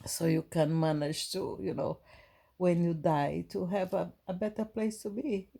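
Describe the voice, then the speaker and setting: A woman from Brazil with a Brazilian Portuguese accent, giving a gentle, halting inspirational talk to her viewers with many restarts. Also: lowish voice, fairly even intonation, creaky. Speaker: spiritual vlogger.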